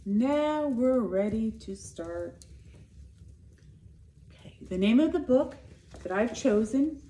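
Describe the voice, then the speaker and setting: Woman, middle-aged, children's read-aloud storyteller. Unhurried, expressive delivery with emphasized words, roomy home recording. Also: medium pitch, a little hiss or hum. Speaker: school nurse reading to children. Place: indoor living room by a fireplace. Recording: echoey living room.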